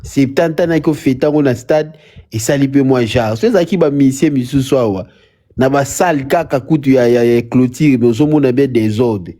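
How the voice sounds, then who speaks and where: Caller, man with a mellow, dark voice, rambling and halting. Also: mid-range voice. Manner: rambling, halting with many restarts. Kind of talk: live call-in chat.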